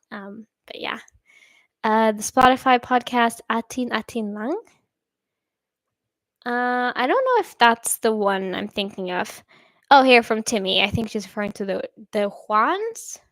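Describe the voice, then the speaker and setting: A young woman hosting a casual live stream, reading viewer comments and rambling with lots of fillers and soft laughs. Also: no room sound. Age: young adult. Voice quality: somewhat deep voice. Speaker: reaction channel host.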